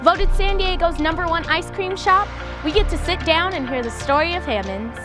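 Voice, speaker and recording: A woman's voice: extremely high pitch, moderate pace, music in the background. A woman host reading a scripted introduction as a voice-over. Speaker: show host. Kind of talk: scripted narration.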